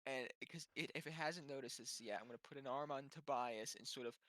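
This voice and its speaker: A man's voice: mid-range voice, brisk, fairly bright tone, no echo. A man talking casually as a player in a tabletop game, hesitantly working out what his character does.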